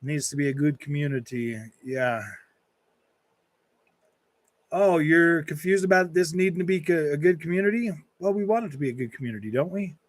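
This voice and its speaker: A man's voice: fairly high pitch, normal speed. A man in his early forties chatting casually as a gaming streamer, rambling and repeating himself with lots of dude, man and bro. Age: early 40s. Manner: rambling, repetitive, slangy, calls listeners dude, man and bro. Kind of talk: casual livestream chat, answering and reading out viewer comments.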